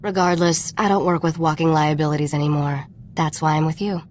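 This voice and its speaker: A woman's voice: low voice, fairly even intonation, a little fast, slight background noise, natural voice. A woman playing a cool, pragmatic treasure hunter in a video game, guarded and businesslike. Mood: guarded, cold. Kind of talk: video game character acting.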